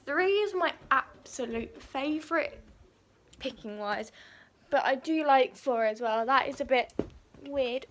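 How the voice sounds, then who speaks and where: A woman talks to her viewers in a loose, chatty vlog, circling back and repeating her points. Her voice sits high and has gone croaky from a sore throat. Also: fairly bright tone, a little hiss or hum. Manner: chatty, rambling, repeats herself. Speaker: vlogger. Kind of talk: casual vlog chat.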